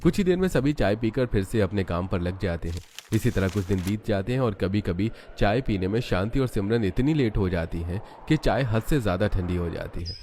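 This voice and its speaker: Low-voiced man narrating a cartoon story. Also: expressive, brisk, few pauses, mellow, a little hiss or hum.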